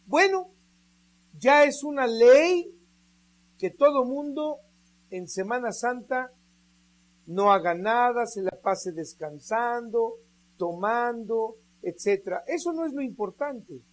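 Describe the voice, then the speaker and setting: An unhurried radio preacher. The delivery is emphatic and drawn out, and the voice sits in a middle pitch. The sermon leans on rhetorical questions and direct appeals to the listeners. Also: lively intonation, poor audio.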